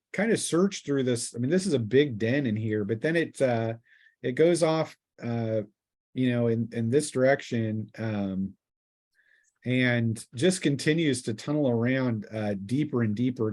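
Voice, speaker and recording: A man with a medium-pitched voice runs a tabletop role-playing game. He improvises his narration as game master, feeling his way through descriptions with halting, thinking-aloud delivery. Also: darkish tone.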